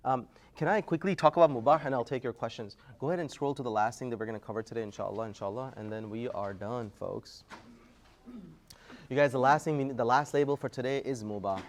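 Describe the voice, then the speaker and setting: Male lecturer, energetic classroom teacher, call-and-response style, middle-pitched. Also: quick pace. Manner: energetic, quizzing the class, rising loudly to prompt answers. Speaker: Islamic studies teacher.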